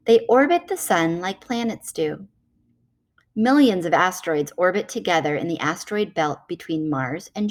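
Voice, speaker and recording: Female read-aloud narrator, medium pitch, measured explanatory delivery, children's nonfiction reading.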